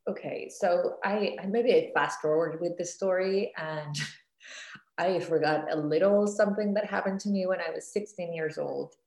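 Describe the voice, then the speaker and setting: A woman from Costa Rica, a guest speaking reflectively about her past in a relaxed interview. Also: lowish voice, normal speed. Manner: reflective, frequent "let's say" and "like" fillers. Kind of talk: casual interview conversation. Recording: echoey room.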